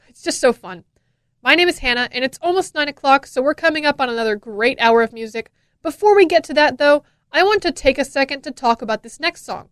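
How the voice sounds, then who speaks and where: Bright, high-voiced young American woman DJ. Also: lively intonation, fairly quick, dry recording, clean studio sound. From Florida, United States. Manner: enthusiastic, chatty. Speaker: radio DJ. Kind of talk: upbeat casual radio DJ talk. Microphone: close studio microphone.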